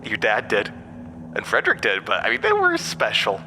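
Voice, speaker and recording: A piercingly sharp, forceful voice rings through a long, cavernous reverb. It is an ancient inhuman outcast, bitter and manipulative, telling its tale with taunting theatrical emphasis, as in a horror audio drama. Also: male voice, lowish voice, huge pitch swings, moderate pace, slight background noise, full character voice.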